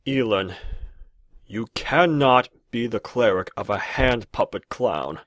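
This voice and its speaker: A man's voice: mid-range voice, takes it slowly, fairly bright tone, dry recording, studio quality. A man voicing a sarcastic, exasperated fighter and party leader in a comic cartoon fandub. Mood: exasperated, dry. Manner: sardonic. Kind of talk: animated comic fandub acting.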